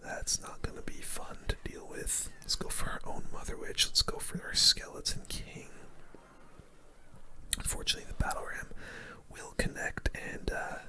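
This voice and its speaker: An ASMR gaming host giving casual play-by-play commentary in a hushed, breathy, unvoiced whisper with gamer slang. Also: normal speed. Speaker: gaming video host playing a card battle game.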